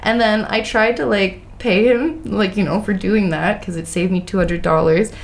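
A woman's voice: medium pitch, normal speed, vocal fry. A woman hosting a casual interview with an English Canadian accent, laughing often as she tells stories. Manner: laughs often, animated storytelling. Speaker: host.

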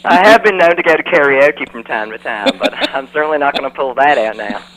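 A man's voice: mid-range voice, expressive, fairly quick, crowd noise, natural voice, poor audio. A man voicing a chatty, folksy middle-aged Southern mother with a Southern US accent who gushes and rambles on a radio call. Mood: gushing. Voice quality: gushing, folksy, rambling, says "y'all". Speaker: radio caller. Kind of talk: phone-in radio chat.

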